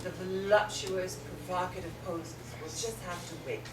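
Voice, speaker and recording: A woman playing a vain, sharp-tongued drag queen, bossy and theatrical, in a stage drama. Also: on the low side, fairly even intonation, normal speed, lightly acted. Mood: wry. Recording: echoey room, distant mic, noisy.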